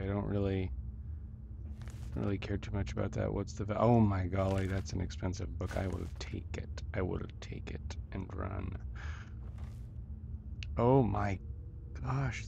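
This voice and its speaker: A man with a gravelly, fairly low voice talks his way through a video game. He takes his time, muttering short phrases to himself and often saying them again in a casual let's-play style. Clear noise and game ambience sit behind him. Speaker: video game player and host of a gameplay series. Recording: dull lo-fi audio with clear background noise.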